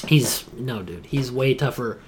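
Slangy young man bantering excitedly. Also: medium pitch, a little slow, a crowd in the background. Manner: slangy, excitable fan talk with mimicked quotes. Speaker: podcast co-host. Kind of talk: casual banter about video games.

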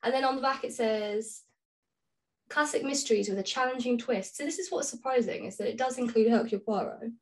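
Female co-host, higher-pitched, informal and playfully dry in conversation. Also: fairly quick, rough recording. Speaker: podcast co-host. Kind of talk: casual chat between friends.

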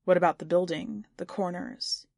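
A woman reading the part of an eccentric, excitable man in an audiobook story, declaiming and firing probing questions. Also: lowish voice, normal speed, creaky, no room sound, clean studio sound.

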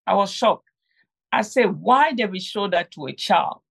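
A woman's voice: mid-range voice, animated, unhurried, creaky, husky. A middle-aged woman from Cameroon, telling her story as an interview guest with many fillers and restarts. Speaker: guest, game inventor. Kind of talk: conversational interview.

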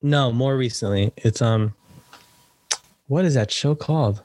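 A man's voice: medium pitch, a little slow, darkish tone, dry recording. Chatty young man with a dry sound. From United States, Salvadoran family. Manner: chatty, laughs often, many fillers. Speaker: podcast guest, actor and writer. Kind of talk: casual interview chat.

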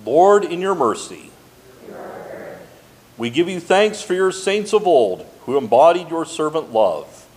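A man with a fairly high voice leads prayer as a pastor. His pitch moves in animated rises and falls. The delivery is solemn and liturgical, set out in measured, formal phrases with pauses between them. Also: a little hiss or hum, low-quality recording. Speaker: pastor leading congregational prayer. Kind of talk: liturgical prayer and blessing, read aloud.

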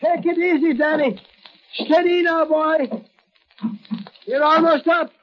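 Brief: high-voiced, excitable patrolman, loyal sidekick, old muffled radio sound. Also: slow pace. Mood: shouting. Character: burly excitable Irish beat cop, loyal partner. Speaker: police officer character. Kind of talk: 1940s radio acting. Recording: muffled old radio transcription with faint hiss.

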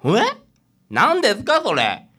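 A woman playing a hapless, whiny, excitable junior detective in a radio drama. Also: mid-range voice, takes it slowly, exaggerated voice. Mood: puzzled, curious.

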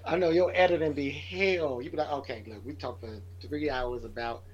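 A man guesting on a casual podcast chat, talking in a relaxed, easygoing way. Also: fairly high pitch, moderate pace, lo-fi sound.

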